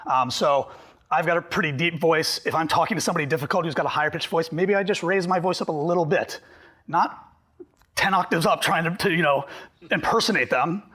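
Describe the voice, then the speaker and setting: A young man from Pennsylvania giving an interactive workshop to a live audience in a meeting room. He talks quickly and animatedly, with a self-deprecating, chatty manner and a voice that is a little hoarse. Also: on the high side, fairly bright tone. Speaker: workshop presenter. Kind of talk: interactive training talk.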